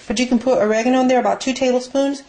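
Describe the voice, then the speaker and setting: A woman's voice: high-pitched, fairly even intonation, rapid-fire, dark tone. A woman giving a casual, rambling, unscripted cooking demonstration as a home cook in her kitchen. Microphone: handheld camera mic. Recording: noisy amateur camera audio with clicks.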